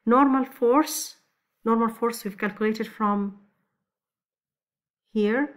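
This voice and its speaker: A woman teaching physics, talking step by step through exam problems over a screen recording, with frequent restarts. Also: a little high. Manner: slow, step-by-step explaining with restarts.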